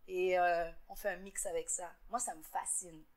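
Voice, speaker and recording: A woman from Montreal with a Québécois accent, answering interview questions in an earnest, reflective way, often pausing with fillers and repeating words. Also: on the low side, steady tone. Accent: Québécois French. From Montreal, Quebec. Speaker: interviewee, entrepreneur.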